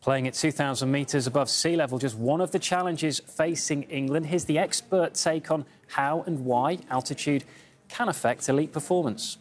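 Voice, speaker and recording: Sports news presenter reading a report. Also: medium pitch. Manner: brisk, informative. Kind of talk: scripted sports news narration.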